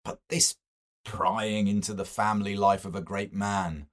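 A man's voice: fairly low pitch, fairly even intonation, unhurried, lightly acted. A man voicing a lofty, impatient man of letters in a novel, dismissive and exclamatory.

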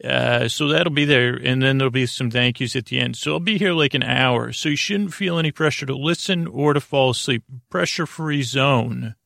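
A man hosting a sleep podcast with a creaky voice, rambling in a halting, meandering way through whimsical tangents. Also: medium pitch, fairly bright tone, no echo, studio quality. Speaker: podcast host.

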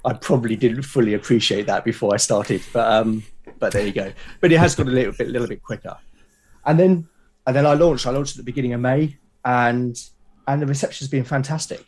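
Man, slightly low voice, husky, halting enthusiastic delivery, casual podcast conversation. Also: darkish tone. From Britain. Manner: hesitant, repeats words, enthusiastic. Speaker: podcast guest, solo game podcaster.

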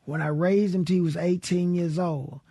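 Raspy man, middle-aged, slightly high voice, relaxed storytelling. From Mississippi. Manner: relaxed storytelling with Southern grammar and drawn-out pauses. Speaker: guest blues musician. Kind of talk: conversational radio interview.

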